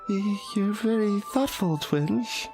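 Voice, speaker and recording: A woman's voice: medium pitch, slow pace, over music. A woman voicing a shy, polite, bashful anime schoolgirl with a hesitant stammer, in a visual novel. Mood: bashful, tender. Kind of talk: visual novel character voice-over.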